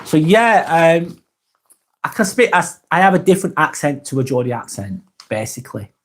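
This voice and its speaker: A man from Lancashire with a Lancashire accent, hosting a casual live stream and reading viewer comments aloud in a soft, hesitant way. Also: on the high side, sing-song, moderate pace. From Lancashire, England.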